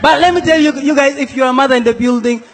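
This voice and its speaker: A young man from South Sudan works a comedy stage, playfully acting out the people in his stories. His voice sits very high for a man, and it rings with the long echo of a big hall. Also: fast talker, slight background noise. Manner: playful, acts out quoted characters. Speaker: stand-up comedian. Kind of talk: stand-up comedy set. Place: comedy stage in a large hall before a laughing audience.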